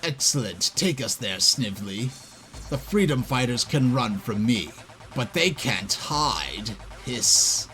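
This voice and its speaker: A man voicing a gruff, bossy villain doctor from a comic, menacing and commanding. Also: mid-range voice, sing-song, slow, bright, forceful tone, faint music behind, voice pushed down, full character voice. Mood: gloating.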